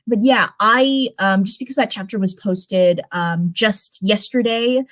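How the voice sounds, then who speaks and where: A woman's voice: mid-range voice, a little slow. A woman hosting a live reading stream, moving between casual, chatty talk and storybook narration. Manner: chatty, animated.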